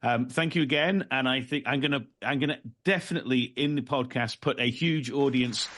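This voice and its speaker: A man's voice: mid-range voice, a little fast, a bit bright. A man hosting a podcast discussion in a casual, conversational style. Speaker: podcast host and session moderator. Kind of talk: casual moderated discussion on a video call.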